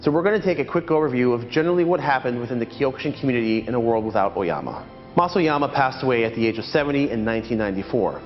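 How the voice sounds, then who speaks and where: A man hosting a martial arts channel, giving a scripted, measured and earnest documentary narration. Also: mid-range voice, lively intonation, long reverb tail, dull audio, faint music behind. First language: not Japanese.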